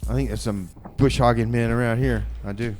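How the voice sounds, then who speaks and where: A man's voice: mid-range voice, steady tone, a little fast, a little mellow, a little hiss or hum. A man hosting a community radio music show, bantering casually and back-announcing songs in a folksy, rambling way. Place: radio studio.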